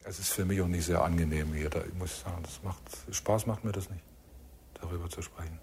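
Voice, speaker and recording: A man from East Germany giving reflective interview testimony in a hesitant, measured way. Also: low voice, steady tone, darkish tone, slight background noise. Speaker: former party secretary.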